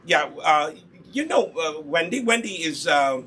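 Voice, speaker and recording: A man's voice: on the high side, lively intonation, unhurried, sharp tone, a little hiss or hum. A man from Uganda with a Ugandan accent, hosting a casual live hair care demonstration in an instructive way, repeating key points. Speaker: host, natural hair product seller and demonstrator.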